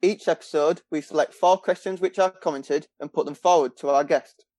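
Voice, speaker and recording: A man's voice: a little high, steady tone, a little fast, a little mellow, dry recording. A man hosting a fan podcast, steadily reading listener questions from a script. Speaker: podcast host.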